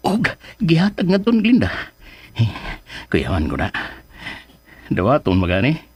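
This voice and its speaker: An actor with a Filipino accent playing a comic, anxious, lovestruck suitor talking to himself with exaggerated delivery in a radio drama. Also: male voice, medium pitch, wildly swooping pitch, unhurried, a little mellow, husky, exaggerated voice. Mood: nervous, pleading.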